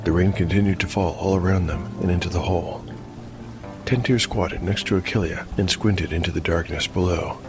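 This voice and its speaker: A man with a deep voice narrates a fantasy audio drama as its game master. His delivery is scripted storytelling, set over clearly audible music. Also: fairly even intonation, normal speed.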